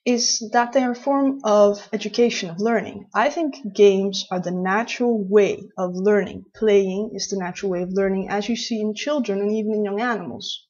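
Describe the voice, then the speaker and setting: A woman in her late twenties from the Netherlands, speaking English with a Dutch accent and a slightly husky voice. She chats to camera in a loose, rambling way, restarting her sentences often. The recording sounds a little dull and lo-fi. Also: medium pitch, fairly even intonation, normal speed, hardly any pauses, darkish tone. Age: late 20s. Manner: chatty, rambling, with many restarts. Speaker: vlogger. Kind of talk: casual talk to camera.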